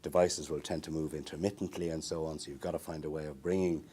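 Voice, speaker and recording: Low-voiced man explaining at length. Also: fairly even intonation, fast, mellow, breathy edge. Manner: thoughtful, many fillers and restarts, long building sentences. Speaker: marine research interviewee. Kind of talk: explanatory interview talk. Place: small indoor interview room.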